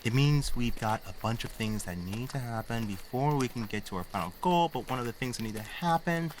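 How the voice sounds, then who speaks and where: A man's voice: medium pitch, expressive, a little fast, a little hiss or hum, natural voice. A man playing a nerdy, anxious teenage boy in an audio drama, wordy and wry, explaining things pedantically. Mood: pedantic. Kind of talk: naturalistic audio drama acting. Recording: faint outdoor night ambience with crickets.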